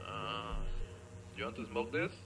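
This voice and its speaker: A man's voice: lowish voice, normal speed, gravelly. A man giving casual let's play commentary, thinking aloud in short, hesitant questions and playfully joking with the game's characters. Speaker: gamer playing a point-and-click adventure. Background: game music.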